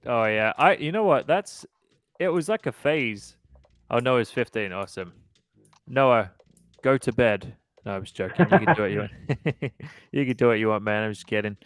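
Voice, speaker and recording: A man in his thirties hosting a casual podcast livestream, easygoing and quick to laugh as he chats and reads out viewer comments. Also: mid-range voice, animated, no echo. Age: 30s. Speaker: podcast host.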